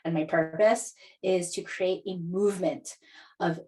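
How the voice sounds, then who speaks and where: A woman's voice: on the low side, fairly even intonation, a little slow, warm tone. Earnest woman astrologer teaching a masterclass. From Hawaii. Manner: upbeat, earnest, talks with filler ums and laughs. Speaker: astrologer and podcast host. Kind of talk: live online masterclass teaching.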